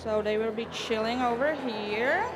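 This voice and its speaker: A woman with a Czech accent, a native Czech speaker, giving a careful, rehearsed barista competition presentation on stage. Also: on the high side. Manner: measured, careful, formal. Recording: noisy event venue ambience.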